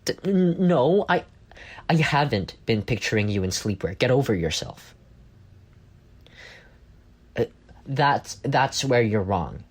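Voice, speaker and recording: A man playing an arrogant, sardonic office rival with a soft spot, in an intimate roleplay audio drama. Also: medium pitch, expressive, slow, long pauses, husky. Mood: flustered, defensive. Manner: teasing, sardonic, stammers when caught off guard. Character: arrogant, bantering male office rival turned flustered admirer.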